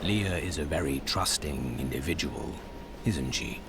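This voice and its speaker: Very deep-voiced stoic warrior. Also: male voice, creaky, heavy background noise, heavily acted. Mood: measured curiosity. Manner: slow, terse, calm. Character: stoic, grave warrior hero, terse and composed. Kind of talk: fantasy video game voice acting. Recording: loud music underneath. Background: music.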